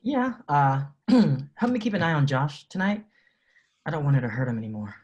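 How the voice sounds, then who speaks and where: An actor reading a protective, foul-mouthed high school best friend at a film table read. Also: male voice, sing-song, normal speed, a little mellow, dull audio. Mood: confiding.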